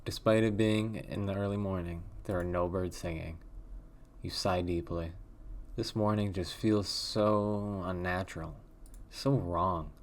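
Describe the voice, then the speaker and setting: A man reading a text horror game aloud in a hushed, measured way with a wondering, uneasy tone. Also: deep voice, fairly even intonation. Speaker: let's play host.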